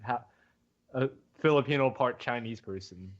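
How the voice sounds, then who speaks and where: A man's voice: medium pitch, normal speed, slight background noise. Male podcast guest, mid-range voice, playful repetitive banter, muffled online-call recording. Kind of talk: casual group podcast banter.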